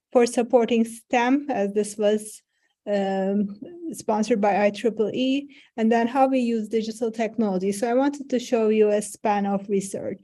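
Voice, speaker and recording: A middle-aged woman gives an online conference talk as a professor over a video call. Her voice sits a little high, with a mellow, warm tone. She explains in a friendly way and fills her pauses with short sounds. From Turkey. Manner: friendly, explanatory, many fillers. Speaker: professor giving an invited talk.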